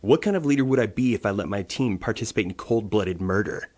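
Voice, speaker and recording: An actor playing a stern, by-the-book military captain in an audio drama, speaking in a curt, commanding way. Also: male voice, lowish voice, fast, darkish tone, natural voice. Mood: stern, defensive. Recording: clearly noisy, vehicle ambience behind.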